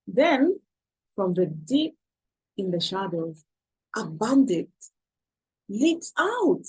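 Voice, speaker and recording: A woman's voice: medium pitch, sing-song, very slow, darkish tone, breathy edge, rough recording. A woman reading a picture book aloud to children, expressively and with questions to the listeners. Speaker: children's storyteller.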